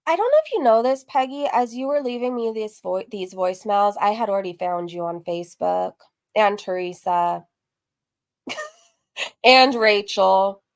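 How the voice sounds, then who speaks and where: A woman's voice: medium pitch, a little slow, no echo, clean studio sound. A woman hosting a reaction stream, talking casually to her viewers in a teasing, mocking manner. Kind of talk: casual commentary to live viewers.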